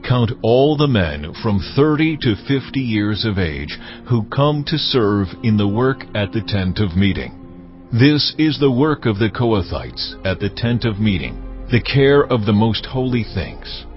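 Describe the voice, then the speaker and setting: God for audio scripture drama: low, solemn, commanding, formal, over soft music. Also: male voice, sing-song. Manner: measured, authoritative, formal. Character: God, solemn male deity giving commands to Moses. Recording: slightly muffled, music underneath.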